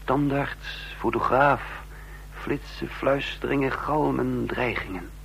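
An actor playing a haunted, confused man caught in espionage, narrating in brooding, halting fragments in a radio drama. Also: mid-range voice, vocal fry, a bit bright. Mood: brooding unease. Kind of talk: Dutch radio drama acting, introspective narration. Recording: noisy old radio play recording.

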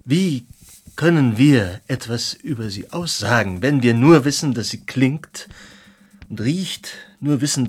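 An actor playing a tipsy, impassioned Romantic artist in a radio drama, declaiming bitter philosophy with halting dramatic pauses. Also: male voice, mid-range voice, husky. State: drunk. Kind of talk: German radio drama acting.

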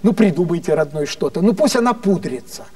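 A man's voice: sits high, lively intonation, brisk, warm tone, far from the mic. A man voicing a sentimental, earnest and bossy woman minister in a storyteller's anecdote, pleading and emphatic. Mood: coaxing, bossy. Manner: pleading, emphatic, endearing address. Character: sentimental, earnest female Soviet government minister, motherly and bossy.

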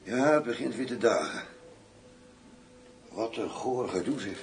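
Groggy undercover tough, distant and noisy. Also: male voice, lowish voice, lively intonation, measured pace. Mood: weary, sickened. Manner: groggy, coarse grumbling. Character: hungover, grumbling undercover man posing as gangster arms dealer. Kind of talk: Dutch radio drama acting.

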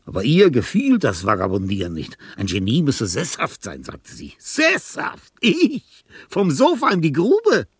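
An actor playing a frail, dying old composer in a radio play, self-pitying and grandiose, rambling theatrically with a breathy, husky voice that often falls to a whisper. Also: male voice, a little high, huge pitch swings, measured pace, no room sound, studio quality, heavily acted. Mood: agitated, defiant. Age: older. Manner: theatrical, rambling, with sudden swings from murmur to outburst. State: frail, ailing.

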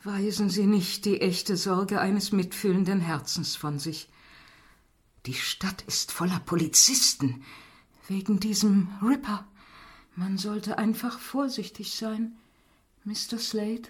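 A woman's voice: medium pitch, slow, lightly acted. An actress playing an anxious, devoted married landlady in a radio drama, deferential and earnest. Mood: anxious pleading.

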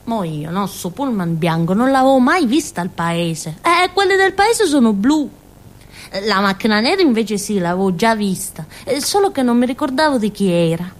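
Actress playing a childlike Calabrian village simpleton, animated. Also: female voice, a little high, moderate pace, natural voice. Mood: excited recounting. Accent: southern Italian, Calabrian. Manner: childlike, rambling, punctuated by little grunts and tag questions. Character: naive simple-minded young village woman of Calabria, chatty, confiding. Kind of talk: theatrical first-person monologue in colloquial dialect-tinged Italian.

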